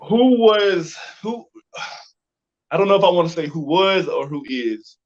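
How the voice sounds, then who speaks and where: A man hosting a casual interview, speaking in a halting, conversational way with backtracking. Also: high-pitched, animated. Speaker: interview host. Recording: dull, muffled lo-fi audio.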